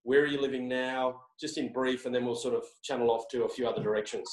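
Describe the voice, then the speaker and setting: A man's voice: medium pitch, fairly even intonation. Aussie guy asking rambly interview questions, lots of "and, and", echoey room. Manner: halting, repeats words and hedges. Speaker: interviewer, company executive.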